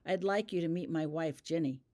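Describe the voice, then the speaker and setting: A curt adult male wizard from an audiobook story, as a narrator reads him. He speaks coldly and abruptly, in a mid-pitched voice used to giving orders. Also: normal speed, warm tone, close to an everyday voice. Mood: brisk, polite. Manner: cold, abrupt.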